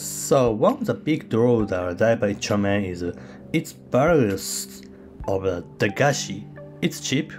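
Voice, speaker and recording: Guy narrating a travel vlog, english isn't his first language, lots of uh's, soft music under it. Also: mid-range voice. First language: not English. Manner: halting non-native English with fillers. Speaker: travel vlogger. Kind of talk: voice-over travel guide.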